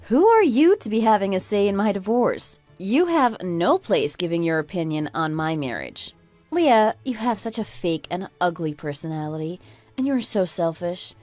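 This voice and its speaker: A woman playing a fed-up, sharp-tongued married woman in a radio drama, speaking in an emphatic, scornful, lecturing manner. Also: medium pitch, sing-song, normal speed, soft background music, natural voice. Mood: scornful, triumphant. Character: fed-up, sharp-tongued oldest daughter-in-law, a divorcing breadwinner. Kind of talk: scripted radio drama acting.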